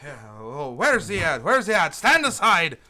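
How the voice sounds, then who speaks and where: A man's voice: high voice, very animated, moderate pace, pushed and bright, exaggerated voice. A man playing a tough, wisecracking police detective in an old-time radio drama.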